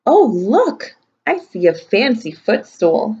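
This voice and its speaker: A woman's voice: on the high side, big pitch swings, takes it slowly, warm tone, lo-fi sound. A woman voicing a chatty, observant mother in a storybook read-aloud. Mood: excited.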